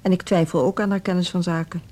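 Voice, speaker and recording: An actress playing a reserved, doubting middle-aged music school director in a radio drama, speaking formally with a measured manner and a Netherlands Dutch accent. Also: female voice, fairly low pitch, steady tone, dark tone, lightly acted. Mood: sceptical, serious.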